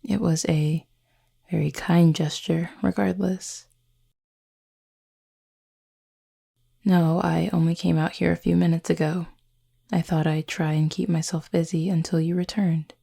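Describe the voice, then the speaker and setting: A woman playing a gentle, formal cupid roommate in an intimate roleplay audio, speaking softly and breathily with measured, teasing politeness. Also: lowish voice, flat delivery, full character voice. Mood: composed, fond. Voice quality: soft, breathy, slightly husky. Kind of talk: intimate roleplay audio acting, addressed to listener.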